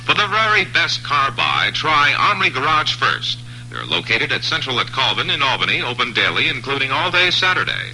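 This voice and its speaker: A man's voice: medium pitch, very animated, normal speed, hardly any pauses, sharp tone, poor audio. A man reading a radio commercial in an upbeat, persuasive sales style. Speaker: radio ad announcer. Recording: old radio broadcast with faint hum.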